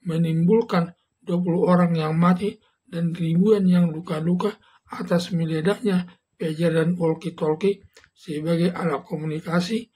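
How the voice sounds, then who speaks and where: An older man reading out a commentary in a halting way. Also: on the high side, a little mellow, breathy edge. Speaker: legal commentator. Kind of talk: scripted commentary, read aloud.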